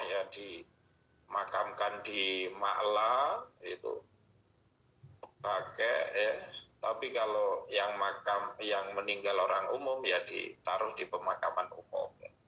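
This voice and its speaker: A man's voice: low voice, gravelly, bright, forceful tone. A man answers listeners' religious questions as an Islamic teacher, explaining by listing and repeating points. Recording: muffled radio-like audio, roomy echo.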